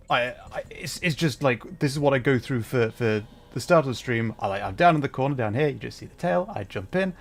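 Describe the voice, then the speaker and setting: A man chatting casually to viewers on a livestream, talking in a hesitant, flustered way and often interrupting himself. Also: medium pitch. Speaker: livestreamer, VTuber.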